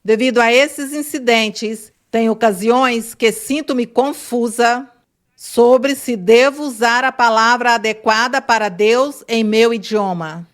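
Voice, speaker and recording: Higher-voiced woman interpreter. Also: slow pace. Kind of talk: reading a translation.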